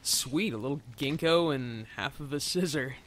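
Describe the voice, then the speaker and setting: A man's voice: medium pitch, moderate pace. A man chatting casually and drily as a jokey co-commentator on a video game. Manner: dry, jokey. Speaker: co-commentator on a let's play. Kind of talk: casual joking chat. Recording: faint noise behind voice. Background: game ambience.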